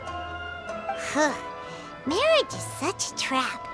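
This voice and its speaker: Very high squeaky childlike fairy companion. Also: female voice, drawn-out words, exaggerated voice. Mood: dismayed. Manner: exclamatory, animated, naive. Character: tiny excitable childlike female fairy companion. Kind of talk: video game voice acting. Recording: loud music under voice. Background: game music.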